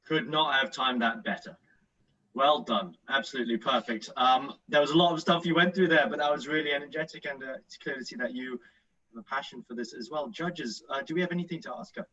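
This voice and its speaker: A male event host with a bright, forceful voice at a middling pitch speaks off the cuff as he moderates. He sounds distant from the microphone, and the audio is slightly dull. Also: a little fast. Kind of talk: spontaneous feedback and moderating. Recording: distant mic, dull sound, background noise.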